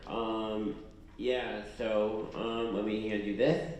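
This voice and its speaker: A man's voice: fairly low pitch, flat delivery, takes it slowly, a little mellow, distant mic. A man from Massachusetts introducing himself on a panel, hesitant with many ums. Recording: echoey hall, crowd noise.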